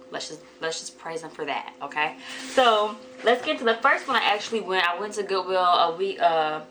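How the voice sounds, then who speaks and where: A woman with a hoarse voice from a cold, chatting casually to viewers in a haul vlog. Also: pushed and bright, slight background noise, poor audio. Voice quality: deeper than usual. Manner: chatty, addresses viewers as y'all. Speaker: vlogger.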